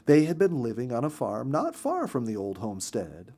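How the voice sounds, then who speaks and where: A man reading a children's story as narrator in an expressive, gentle storytelling manner. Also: mid-range voice, moderate pace.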